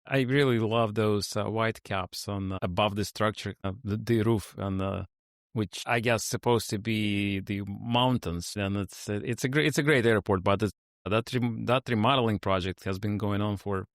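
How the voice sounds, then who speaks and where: A man's voice: fairly low pitch, moderate pace, no room sound, studio quality. A man hosting an interview podcast, reading a polished intro and then chatting with his guest, with many fillers and restarts. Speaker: podcast host.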